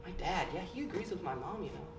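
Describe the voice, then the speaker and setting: A woman playing an arrogant, petulant teenage boy on stage, sneering and flaring into shouts. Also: on the low side, expressive, fast. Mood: haughty. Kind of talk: stage play acting. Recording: roomy stage recording from a distance, faint hiss.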